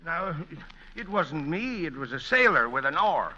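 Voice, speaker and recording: A tipsy, blustering con man in a 1940s radio comedy. His voice is high and piercingly bright, swinging widely as he stammers out his lines. The vintage recording is dull and lo-fi. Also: big pitch swings, measured pace, heavily acted. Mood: flustered, chummy. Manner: stammering, hesitant, interjecting bursts.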